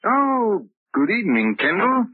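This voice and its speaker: A man's voice: sits high, huge pitch swings, unhurried, husky, dry recording, exaggerated voice. An actor playing a bitter, domineering older father in an old radio drama, coldly polite and sneering in his delivery. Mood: cold, commanding. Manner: suave, sneering, mock-courteous delivery. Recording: dull, lo-fi old radio recording.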